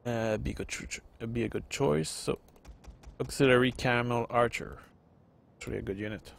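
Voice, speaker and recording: Man in his thirties, Quebec French accent, gravelly voice, rambling casual game commentary. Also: medium pitch, normal speed. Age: 30s. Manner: rambling with many uh fillers, reading comments. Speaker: gaming video host. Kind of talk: casual let's-play commentary.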